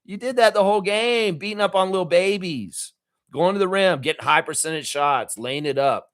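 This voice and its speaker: A man hosting a fan reaction show, raspy from yelling at a game, ranting emphatically with swearing and repeated phrases. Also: high voice, normal speed. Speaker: fan channel host. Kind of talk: fired-up fan reaction rant.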